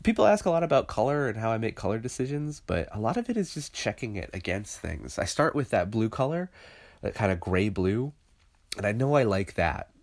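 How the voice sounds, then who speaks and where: A man's voice: lowish voice, very animated, fairly quick. A man with a stuffy, congested voice from a cold, talking through his digital painting process in a loose, thinking-aloud tutorial voice-over. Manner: thinking aloud, loose and conversational with many fillers. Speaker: illustrator teaching digital painting.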